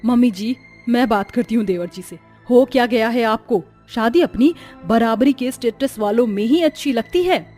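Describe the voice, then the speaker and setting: A woman's voice: a little high, normal speed, few pauses, warm tone, faint music behind, lightly acted. A woman voicing a haughty, rich young sister-in-law in a cartoon story, sneering and condescending in manner. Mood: disapproving. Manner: mocking, condescending. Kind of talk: Hindi cartoon story acting.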